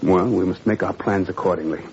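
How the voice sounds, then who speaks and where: A man's voice: deep voice, steady tone, brisk, darkish tone. A man playing an idealistic, troubled father in a 1940s radio drama, earnest and probing with wry irony. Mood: urgent, confiding. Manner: probing, earnest, wryly ironic. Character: idealistic French father, troubled, principled, quietly ironic. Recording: muffled, noisy old radio recording.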